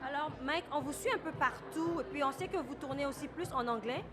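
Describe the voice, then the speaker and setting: A woman's voice: sits high, fairly quick. A woman interviewer, asking polite, formal questions with some hesitation. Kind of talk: event red-carpet interview.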